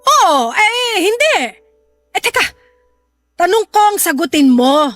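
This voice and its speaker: A woman's voice: extremely high pitch, sing-song, unhurried, a bit bright, no echo, heavily acted. A woman playing a harsh, hard-drinking, scolding mother in a Filipino radio drama, blunt and confrontational. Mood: suspicious, tipsy. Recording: music behind the voice.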